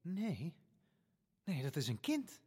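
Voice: medium pitch, wildly swooping pitch, slow, dark tone, slightly rough, big-room sound, full character voice. An actor telling a family radio play and playing a determined, insistent child, with dramatic, emphatic delivery. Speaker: actor-narrator.

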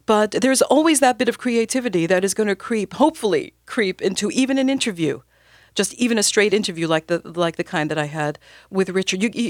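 A woman who works as a radio newscaster, speaking conversationally in an interview with expressive, theatrical, emphatic phrasing. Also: medium pitch, no room sound, studio quality.

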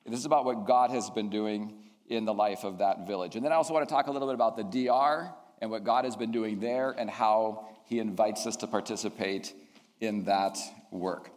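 A man in his fifties, a teacher raised in Indonesia, giving an earnest, reflective talk to a school community with frequent ums. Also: medium pitch. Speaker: math teacher. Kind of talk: chapel talk to students. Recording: long hall reverb.

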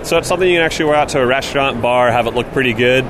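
A male company rep enthusiastically pitches his sandals in a salesy way. There is clear noise all around him on a busy trade-show floor. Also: rapid-fire. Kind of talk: product pitch in conversation.

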